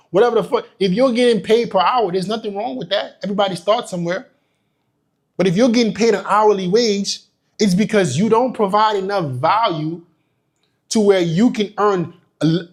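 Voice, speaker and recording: A young man from Nigeria giving a motivational business talk, emphatic and profane, hammering points home by repeating them. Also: high voice. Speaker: business coach.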